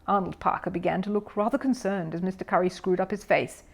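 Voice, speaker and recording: A woman's voice: fairly low pitch, fairly even intonation, quick pace. Woman narrating a children's story expressively. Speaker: audiobook narrator.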